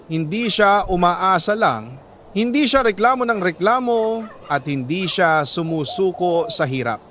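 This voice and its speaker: High-pitched Filipino man narrating a sentimental story. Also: expressive, measured pace, slight background noise. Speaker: storyteller reading a letter. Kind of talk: scripted dramatic first-person narration.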